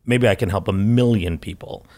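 A man's voice: on the low side, normal speed, darkish tone. A middle-aged man, a microbiologist who grew up in Malaysia and India, answering interview questions in an enthusiastic, rambling way. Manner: enthusiastic, rambling, laughs often. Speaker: guest microbiologist.

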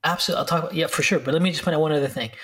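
A man speaking as a guest expert, explaining brain health in a fast, filler-heavy way with restarts. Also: a little high, fairly bright tone. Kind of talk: explanatory interview talk.

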